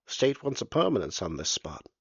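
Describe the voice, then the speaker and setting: A man's voice: on the low side, big pitch swings, fairly quick, gravelly, a bit bright, husky, no room sound, dull audio, full character voice. A man voicing a small, bespectacled male state surveyor, polite and pushy, talking fussily with hesitant "uh"s. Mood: smooth-talking. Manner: fussy, ingratiating, hesitant "uh"s.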